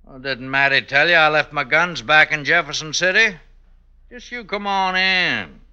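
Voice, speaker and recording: An actor playing a bold, goading American sheriff in an old-time radio western, with a folksy, insistent way of talking. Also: male voice, a little high, moderate pace, bright, forceful tone, smooth. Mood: bold, coaxing. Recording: dull vintage radio recording, faint music.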